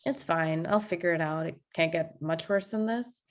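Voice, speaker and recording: A woman playing an awkward, deadpan young standup comedian in a casual comedy table read over video call. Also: lowish voice, normal speed, natural voice. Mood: resigned, candid.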